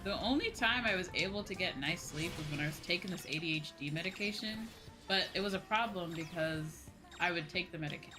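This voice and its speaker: A woman's voice: on the low side, brisk. A young woman chatting casually and playfully with viewers on a livestream as a game streamer. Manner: animated, playful, conversational. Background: music.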